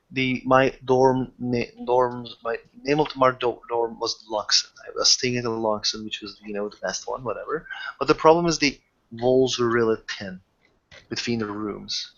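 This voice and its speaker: A man who haltingly searches for words as he improvises in a casual tabletop roleplaying session. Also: medium pitch, fairly bright tone, dull audio. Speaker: game master or player in a tabletop roleplaying session.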